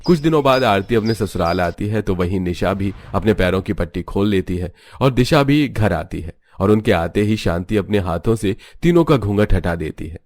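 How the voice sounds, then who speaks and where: A man tells a scripted cartoon family story as narrator. His voice sits on the low side, and the sound is close and dry, free of reverb. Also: expressive, normal speed, few pauses, a little mellow.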